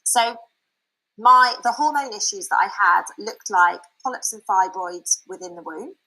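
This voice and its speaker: A woman giving a frank, chatty personal testimony that turns into a sales pitch, with many fillers and restarts. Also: a little slow, bright, forceful tone, rough recording. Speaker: speaker sharing a product testimonial.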